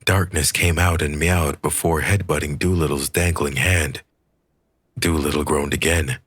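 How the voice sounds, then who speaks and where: A man reading a scripted story aloud as an audiobook narrator, with a husky voice. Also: extremely low pitch, steady tone, unhurried, a bit bright, dry recording.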